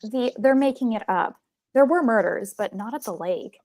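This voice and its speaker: A woman acts out a dismissive young camper girl who scorns the tale. Her voice is high and swings widely in pitch, in the manner of a horror script table read. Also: animated, moderate pace, a little mellow. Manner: scornful, contradicting.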